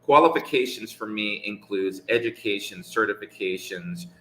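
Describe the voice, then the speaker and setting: A man speaking in a casual live conversation, measured and emphatic, making points with rhetorical questions. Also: on the low side, a bit bright, echoey room, a little hiss or hum, poor audio.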